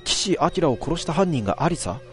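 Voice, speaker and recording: A sharp young girl explaining the mystery in a rush of excitement, voiced by a man. The voice is pushed far up into a bright girlish register, with soft music behind. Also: fairly high pitch, moderate pace, pitched above the performer's own voice. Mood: shocked. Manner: excited, reasoning.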